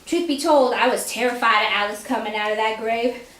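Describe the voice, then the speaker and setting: Higher-voiced woman reading fiction, echoey room. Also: a little fast, fairly bright tone. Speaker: author reading own fiction aloud. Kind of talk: dramatic story reading. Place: bookstore reading, indoors.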